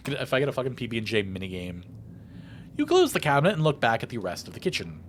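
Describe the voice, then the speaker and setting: A man's voice: medium pitch, fast talker, a little hiss or hum. A man narrating a video game playthrough, reading the text aloud theatrically and adding his own casual commentary. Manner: expressive, theatrical read-aloud delivery. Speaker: let's play host.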